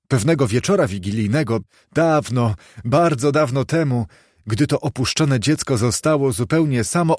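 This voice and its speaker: A man's voice: mid-range voice, darkish tone, dry recording, clean studio sound, pitched above the performer's own voice. A man voicing a sentimental old man who eagerly exclaims over his childhood memories in a storybook reading. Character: old man Scrooge, excitedly and tearfully reliving childhood memories.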